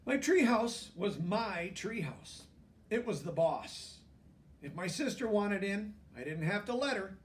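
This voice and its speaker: Male storybook reader, high-pitched and expressive with pauses for emphasis, recorded at a slight distance. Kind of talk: children's book read-aloud with brief asides.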